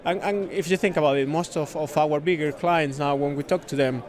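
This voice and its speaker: A man from Spain with a Spanish accent, a native Spanish speaker, explaining a product in a casual interview with halting, repeated words. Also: fairly high pitch, a little mellow. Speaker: software consultant demonstrating a product, interviewee. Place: busy conference venue.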